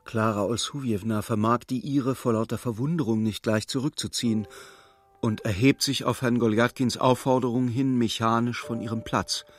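A male narrator voiced by an actor in a literary radio drama, with a mid-pitched voice. He tells the tale in a precise, vivid and suspenseful way over faint music. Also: steady tone, normal speed, dry recording, lightly acted. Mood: measured, observing. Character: male storybook narrator, vivid and urgent observer. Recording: studio radio play with music.

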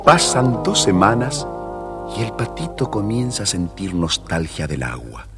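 A man's voice: fairly low pitch, lively intonation, unhurried, loud music behind the voice. A man narrating a scripted children's story as the storyteller. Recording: faintly noisy vintage story record.

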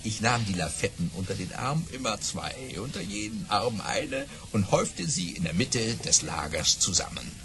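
An actor playing a boastful baron who tells tall tales in a grandiose, theatrical audio play style. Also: male voice, lowish voice, lively intonation, moderate pace, hardly any pauses, crowd noise, lightly acted. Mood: boastful, suspenseful.

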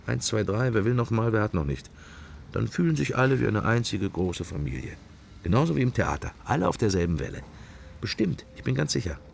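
Radio drama inner monologue: wry, irreverent observer, deep and gravelly, thinking in musing fragments. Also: male voice, slight background noise, full character voice. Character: wry, musing male sceptic, inner thoughts while observing church.

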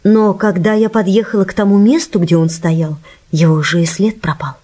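A woman's voice: medium pitch, fairly even intonation, moderate pace, mellow, natural voice. An actress playing a self-possessed young woman telling a story in a radio play. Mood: intrigued recounting. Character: self-possessed young woman from the film business recounting a mystery.

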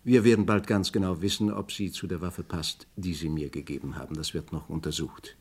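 A man acting in a German radio crime drama, playing a probing, dryly ironic police inspector with a deliberate delivery. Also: deep voice, steady tone, a little mellow, breathy edge. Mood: dry, sceptical.

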